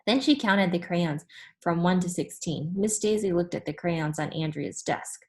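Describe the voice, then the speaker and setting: A woman reading a children's story aloud as an expressive narrator. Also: lowish voice, moderate pace.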